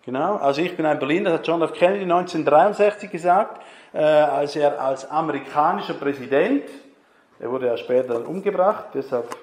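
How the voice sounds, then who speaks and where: A man's voice: fairly high pitch, fairly quick, a little mellow. A man preaching a conversational sermon, putting questions to the congregation. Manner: chatty, asks the congregation questions. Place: church hall. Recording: echoey church hall.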